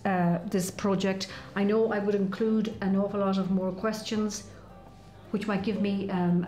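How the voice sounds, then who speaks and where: A woman's voice: medium pitch, a little mellow. A woman in her sixties giving a considered interview answer in a small office. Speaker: college staff member. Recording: roomy, echoing office.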